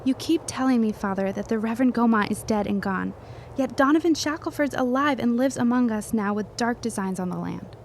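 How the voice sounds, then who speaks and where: Actress playing a high-voiced, wry young woman. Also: brisk, slight background noise, natural voice. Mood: pointed. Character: wry, strong-willed young woman, would-be reporter in 1917. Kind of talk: period audio drama acting.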